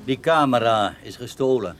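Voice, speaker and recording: A polite, official-sounding customs officer in a radio drama, played by a man. The voice is medium in pitch and formally courteous, on a faintly noisy recording. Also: expressive, moderate pace, fairly bright tone, close to an everyday voice. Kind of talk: Dutch radio play acting.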